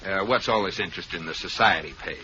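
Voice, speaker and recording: An actor playing a wry, teasing husband and banker in a 1940s radio sitcom. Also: male voice, low-pitched, fast, bright, forceful tone, slight background noise. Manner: dry, wisecracking. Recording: muffled old radio broadcast.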